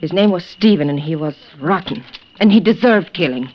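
A desperate woman prisoner as an actress plays her in an old radio drama. She coaxes and pleads with hesitant, dramatic phrasing and widely swooping pitch. The recording is muffled, with noise behind her. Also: lively intonation, normal speed, lightly acted. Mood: pleading. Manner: coaxing, hesitant, dramatic delivery. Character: desperate, pleading female prisoner, guilty killer, coaxing and fatalistic. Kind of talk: 1950s radio drama acting.

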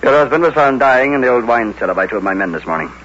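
A sceptical, reassuring police investigator played by a man in an old-time radio mystery. His blunt lines swing widely in pitch, over a dull recording with faint hiss. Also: lively intonation, very fast, a bit bright, close to an everyday voice. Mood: grave. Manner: matter-of-fact, sceptical.

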